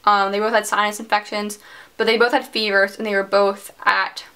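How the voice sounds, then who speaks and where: A woman's voice: mid-range voice, flat delivery, moderate pace, pushed and bright, clean tone. Chatty teenage girl telling a story. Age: teens. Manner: chatty, heavy use of "like". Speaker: vlogger. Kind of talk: casual storytime vlog.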